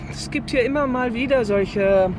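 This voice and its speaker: An actress playing a quick-witted, self-assured journalist in a German radio crime comedy, with a snappy, cheeky manner. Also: female voice, fairly high pitch, close to an everyday voice. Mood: probing. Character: brash, quick-witted female big-city journalist. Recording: noisy, car and city traffic sounds.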